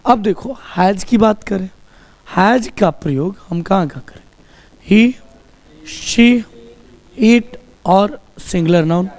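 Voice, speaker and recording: A man's voice: high-pitched, a little mellow. A man teaching spoken English in Hindi to a class, with a Hindi accent, drilling sentences and asking students to repeat them. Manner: asks the class to repeat sentences, slow and drawn-out.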